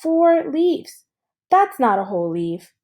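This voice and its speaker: Petty ten-year-old storybook boy, read by a woman, raised pitch, insistent and sourly contradicting. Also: very animated, slow pace, a little mellow, heavily acted.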